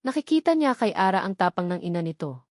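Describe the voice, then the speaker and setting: A woman's voice: medium pitch, sing-song, quick pace, darkish tone, no echo, clean studio sound. A Filipino woman reading a scripted, dramatic romance story as narrator.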